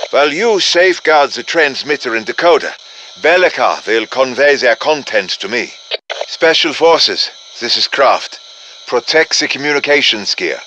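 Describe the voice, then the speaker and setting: An actor playing a grave, commanding professor of the occult in a video game, speaking in an urgent, formal, theatrical manner. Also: male voice, medium pitch, bright, forceful tone, a little hiss or hum, rough recording. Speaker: mission-giving game character. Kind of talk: dramatic video game voice acting.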